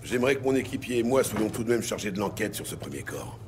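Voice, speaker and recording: An actor playing a hard-boiled New York police detective in a noir audio drama, terse, cynical and streetwise, with a gravelly voice. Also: male voice, fairly low pitch, very animated, fast talker, background noise. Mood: measured pressure. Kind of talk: noir audio drama acting and first-person narration.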